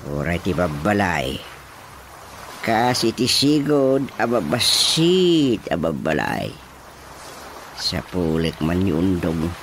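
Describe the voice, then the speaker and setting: Actor as stubborn elderly father, Ilocano radio drama: slow, swooping delivery, drawn-out grunts, music behind. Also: low voice, wildly swooping pitch, fairly bright tone, a little hiss or hum, full character voice. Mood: weary, reflective. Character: stubborn elderly father, set on leaving home.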